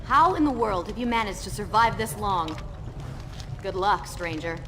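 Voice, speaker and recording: An actress playing a proud, wary young warrior princess who speaks briskly and challengingly in a video game. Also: female voice, mid-range voice, expressive, heavy background noise, natural voice. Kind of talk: video game character acting.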